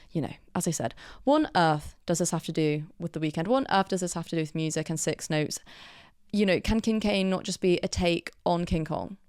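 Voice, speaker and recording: A woman's voice: on the low side, creaky, darkish tone, clear, resonant tone, no echo, studio quality. A young woman hosting a solo music podcast, chatty and enthusiastic, talking straight to her listeners. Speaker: podcast host.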